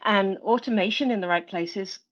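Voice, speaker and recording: A woman's voice: medium pitch, normal speed, muffled recording. A woman from England with an English accent, a tech entrepreneur answering interview questions in an upbeat, earnest way. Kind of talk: casual interview talk.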